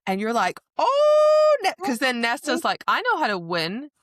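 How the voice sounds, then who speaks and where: A woman's voice: high voice, huge pitch swings, a little slow, no echo, clean studio sound. A woman co-hosting a podcast, casually recapping a fantasy romance novel with giggles, swearing and acted-out quotes. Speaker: podcast co-host.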